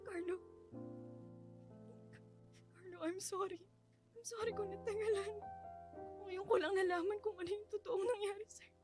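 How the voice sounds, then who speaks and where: An actress playing an emotional, defiant and grieving widow in a radio drama. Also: female voice, steady tone, normal speed, long pauses, over loud music. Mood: tearful relief. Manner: emotional, high-strung. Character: defiant, grieving young widow confronting her husband's killer.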